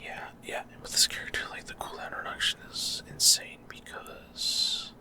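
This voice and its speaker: Male ASMR gamer, fully whispered and breathy, hesitant, off-the-cuff commentary. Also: normal speed, pushed and bright. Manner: casual, rambling, hesitant. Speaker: gaming ASMR creator.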